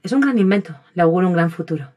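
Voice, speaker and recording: A woman's voice: fairly low pitch, expressive, racing, a little mellow. A woman playing a determined, independent 1930s aviator in a scripted audio drama, assured and spirited. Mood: self-assured. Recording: music behind the voice.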